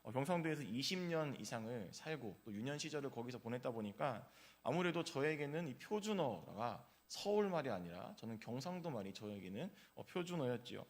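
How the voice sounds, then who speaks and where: A man's voice: mid-range voice, animated, a little fast, hardly any pauses. Man preaching an anecdotal morning sermon. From South Korea, grew up in Gyeongsang region. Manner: conversational storytelling with frequent "uh" fillers. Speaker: pastor. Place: church.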